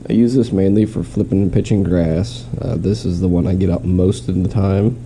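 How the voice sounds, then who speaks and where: A man with a stuffy nose and a slight cough talks in a deep, gravelly voice with a very dark tone. He is nasal and rambling as he runs through his gear at home. Faint noise sits behind him. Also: fairly even intonation, normal speed. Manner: rambling, with restarts. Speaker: fishing vlogger. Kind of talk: casual show-and-tell. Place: home, in quarantine.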